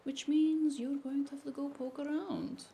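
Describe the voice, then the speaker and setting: A mellow, slightly high voice from an actress as a sassy, streetwise woman in a cyberpunk drama. She is blunt and bossy, and likes to tease. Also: little pitch movement, moderate pace, vocal fry, slight background noise. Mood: blunt, teasing. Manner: blunt, teasing. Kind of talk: audio drama dialogue.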